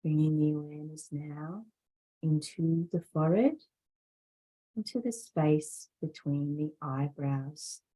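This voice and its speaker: A woman leading a guided meditation as a yoga instructor, speaking in a calm, soothing, deliberate way with long pauses between phrases. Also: sits low, breathy edge, low-quality recording.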